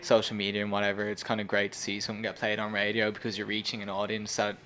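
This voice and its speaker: A man's voice: lowish voice, monotone, fast, fairly bright tone. A young man chatting casually in an interview as a band member. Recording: background noise and ambience.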